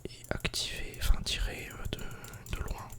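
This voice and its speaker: A woman whispering breathy, unvoiced ASMR commentary while playing a video game, murmuring to herself and to viewers. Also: measured pace.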